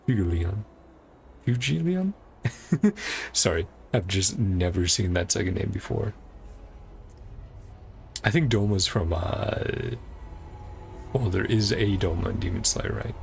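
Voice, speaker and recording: Very low-voiced, gravelly male game streamer. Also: lively intonation, a little slow, long pauses, a little hiss or hum. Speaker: live streamer. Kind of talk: casual livestream chat while playing a game. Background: game music.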